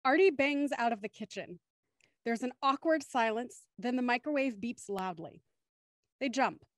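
A woman's voice: fairly high pitch, lively intonation, moderate pace, no room sound, studio quality. A young woman reading scripted narration for an audio drama.

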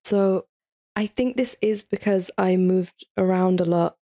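A young woman in her early twenties from England, a guest on a podcast, talking in a loose, chatty way with lots of "like" and frequent laughter. Her voice sits low and mellow, with a gravelly fry at the ends of phrases. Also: unhurried. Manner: chatty, laughs often, many "like" fillers. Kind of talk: casual podcast chat.

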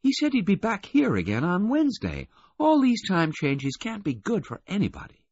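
A man voicing a prim, brisk and cheerful older woman relaying messages in an audiobook. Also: high voice, wildly swooping pitch, a little mellow, breathy edge, no room sound, muffled recording, pitched above the performer's own voice, exaggerated voice. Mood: prim, chatty.

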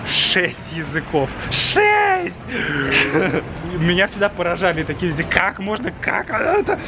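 A young man hosting a vlog, chatting excitedly on a city street and in a restaurant, filmed at arm's length. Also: high-pitched, very noisy. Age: young adult. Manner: excitable, exclaims loudly, explains slowly. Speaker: vlog host, Russian teacher. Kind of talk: casual chat.

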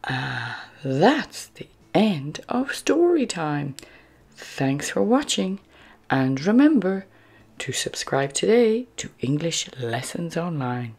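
Very slow, breathy woman storyteller. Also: low-pitched, very animated, darkish tone. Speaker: storybook narrator and channel host. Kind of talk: expressive read-aloud for children.

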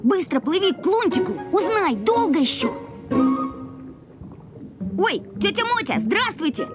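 A woman's voice: very high-pitched, sing-song, measured pace, over loud music, heavily acted. A woman voicing an eager, friendly young grasshopper boy in a children's cartoon, chirpy and earnest.

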